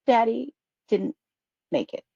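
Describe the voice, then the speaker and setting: A woman's voice: a little high, big pitch swings, slow pace, vocal fry, mellow, breathy edge, no room sound, full character voice. A woman playing a tender, grieving mother in a table read, on muffled audio. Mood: tearful, grieving.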